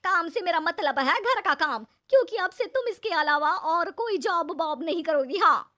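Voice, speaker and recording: A woman plays a spiteful older mother-in-law from a cartoon. Her voice is extremely high and swoops about as she nags, orders and threatens. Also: animated, moderate pace, sharp tone, full character voice. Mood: bossy. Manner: harsh, nagging, threatening.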